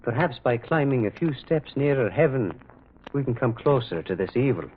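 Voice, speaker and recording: An actor playing a mild, shrewd priest detective who questions gently and hesitantly in an old radio drama. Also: male voice, mid-range voice, expressive, normal speed, heavy background noise, lightly acted. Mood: gently probing. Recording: muffled, narrow-band old radio recording.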